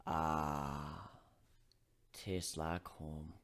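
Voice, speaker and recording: A man's voice: rumbling low, fairly even intonation, very slow, fairly bright tone, pitched below the performer's own voice, exaggerated voice. A man voicing a big-hearted giant minotaur with a blunt, folksy, bossy way of talking. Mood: wistful, grieving. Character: gruff, big-hearted giant male minotaur airship crewman.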